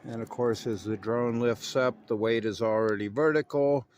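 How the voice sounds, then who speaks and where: A man's voice: mid-range voice, fairly even intonation, moderate pace, a little mellow, a little hiss or hum. Self-assured man with folksy, slangy talk. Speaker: amateur radio hobbyist presenting his own video. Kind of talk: casual video narration.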